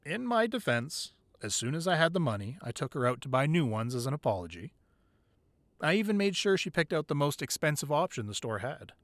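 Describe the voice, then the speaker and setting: A man's voice: a little high, very animated, brisk, no room sound. A man playing an affectionate boyfriend who secretly runs a crime ring, in an intimate, conversational ASMR roleplay. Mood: playful, amused. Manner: conversational, playful with partner, curt and commanding in business.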